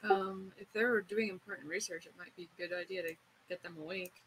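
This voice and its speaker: A woman's voice: on the low side, fairly even intonation, brisk, fairly bright tone, slightly rough, poor audio. A woman chatting casually as a player in a tabletop game.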